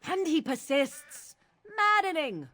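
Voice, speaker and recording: A woman's voice: sits high, very animated, slow, sharp tone, no room sound, full character voice. A woman voicing a haughty, scornful witch of the wilds, with imperious and archaic formal phrasing, in a fantasy game. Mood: exasperated.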